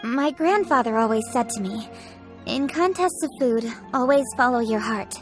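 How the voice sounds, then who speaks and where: A woman's voice: on the high side, lively intonation, normal speed, natural voice. A woman voicing a proud, conscientious young official in a video game, speaking formally and precisely with a slightly stiff manner. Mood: solemn. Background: faint game music.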